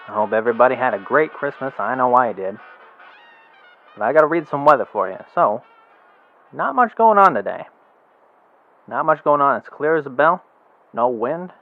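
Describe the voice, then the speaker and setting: A man's voice: mid-range voice, lively intonation, a little fast, pauses often, faint music behind, close to an everyday voice. An actor playing a chatty, folksy male radio announcer reading the weather and news. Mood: cheerful. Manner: casual, folksy, upbeat chatter. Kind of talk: amateur radio drama acting. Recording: tinny phone-like radio sound, very dry.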